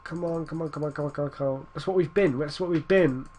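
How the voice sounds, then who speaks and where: Young man, stuffy cold-blocked nose, slightly high voice, rambling casual game commentary. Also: quick pace, dark tone. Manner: rambling, restarts, urges his team on. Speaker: gaming YouTuber.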